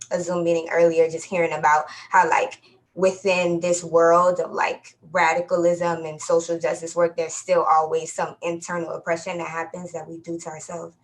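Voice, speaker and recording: A woman talks loosely and informally on a panel, filling her speech with "like" and "you know what I mean." Her voice sits low and stays flat. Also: slight background noise, low-quality recording. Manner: casual, slangy, many "like" fillers. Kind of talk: casual panel talk.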